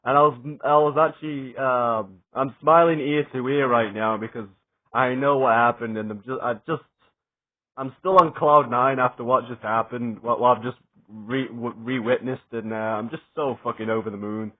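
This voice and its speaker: A young adult man giving a rambling, excited spoken review, swearing often and stumbling over fillers and restarts. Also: medium pitch, moderate pace. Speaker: wrestling reviewer. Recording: tinny phone-like sound.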